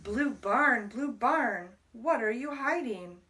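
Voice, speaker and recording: A woman reading a picture book aloud to young children as a library storyteller, deliberately, pausing to explain words. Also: a little high, animated, pushed and bright, far from the mic.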